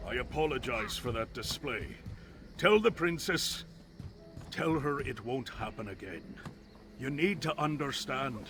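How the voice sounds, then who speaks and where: A man's voice: medium pitch, lively intonation, a little slow, music in the background. A man playing a bitter, betrayed warrior commander in a fantasy video game, with an intense, dramatic delivery. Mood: bitter, despairing.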